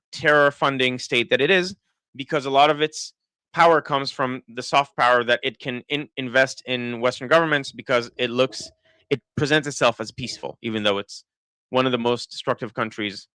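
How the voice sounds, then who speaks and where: Israeli man with an American-sounding accent, dry and bright. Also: mid-range voice, normal speed, smooth, no room sound. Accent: American-sounding English. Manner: thinking aloud, many restarts and fillers. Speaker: political commentator. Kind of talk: live-stream commentary reading chat.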